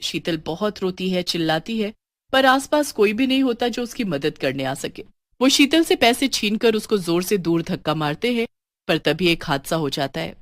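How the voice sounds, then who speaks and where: A woman's voice: lowish voice, expressive, normal speed, no echo, studio quality. A woman storyteller giving scripted, dramatic narration of a horror tale.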